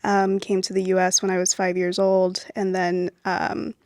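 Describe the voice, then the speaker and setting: A young adult woman from Venezuela, raised in Miami, talks as a film producer guest in a relaxed, casual interview, using lots of "um" and "like" fillers. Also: lowish voice, moderate pace, no echo, clean studio sound.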